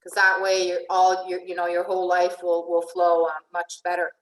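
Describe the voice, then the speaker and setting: A woman's voice: fairly low pitch, completely flat delivery, normal speed. Woman, life coach, grew up in Venezuela, chatty online presentation, restarts sentences, echoey room, video call. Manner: chatty, often restarts and asks "right?".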